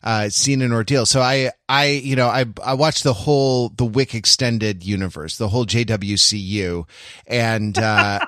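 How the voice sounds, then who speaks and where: A man's voice: medium pitch, unhurried, fairly bright tone, dry recording, clean studio sound. A man hosting a casual film discussion podcast, speaking in a wry way with mock-formal asides. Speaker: podcast host.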